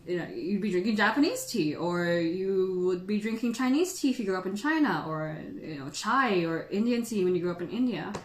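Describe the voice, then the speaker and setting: A woman from the Philippines hosting a tea channel, chatting playfully with viewers and laughing often. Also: lowish voice. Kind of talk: casual livestream chat answering viewers. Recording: echoey room.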